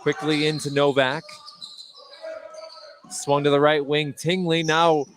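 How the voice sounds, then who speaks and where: A man's voice: a little high, little pitch movement, slow pace. A man doing live play-by-play radio commentary of a basketball game as the announcer. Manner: rises to shouts on big plays. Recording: gym noise behind the voice. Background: basketball game in a gym, bouncing balls.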